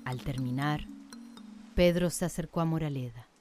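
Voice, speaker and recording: A woman with a very low voice narrates a scripted radio drama. She tells the story in a measured, literary way, over faint background music. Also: a little mellow.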